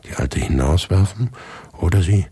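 An actor playing a restless, brooding writer who narrates his own day in a German radio drama, in an intimate, deliberate way. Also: low-pitched, dark tone. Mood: bewildered. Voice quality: gravelly, husky.

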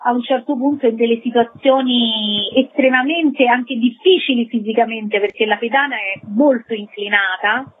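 A woman theatre director explaining conversationally in an interview, emphatically repeating key words. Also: fairly high pitch. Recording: thin, tinny telephone line.

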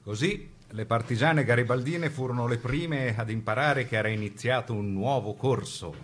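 A man giving an emphatic, rhetorical scripted narration for a radio documentary play, with weighted pauses. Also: mid-range voice, slight background noise.

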